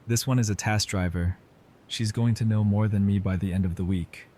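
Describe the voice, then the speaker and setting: A man acts out a camp medic in an audio drama. His voice is on the low side. He talks casually, with a wry, teasing manner. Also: fairly even intonation, normal speed, dark tone, close to an everyday voice. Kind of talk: fan audio drama acting.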